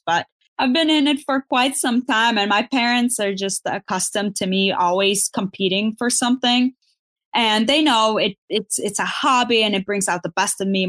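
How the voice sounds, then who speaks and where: A woman's voice: medium pitch, a bit bright, no echo. A young woman from Bulgaria, a pageant contestant, answering interview questions earnestly and encouragingly. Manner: earnest, encouraging, uses fillers like kind of.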